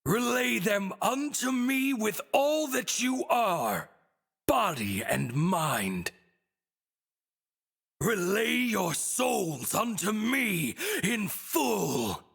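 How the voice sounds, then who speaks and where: Slow, husky, grandiose evil god. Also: male voice, sits high, very animated, a bit bright, exaggerated voice. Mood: commanding. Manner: slow, incantatory, pompous declamation. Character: vain, empty evil god, solemn and grandiose. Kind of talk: theatrical video game boss monologue. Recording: game music behind the voice.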